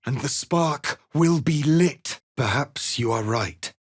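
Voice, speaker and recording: An actor playing a proud, righteous rebel warrior prince in a fantasy video game, speaking in a solemn, formal, declamatory way. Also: male voice, medium pitch, takes it slowly, husky, no echo, clean studio sound. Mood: grave, resigned.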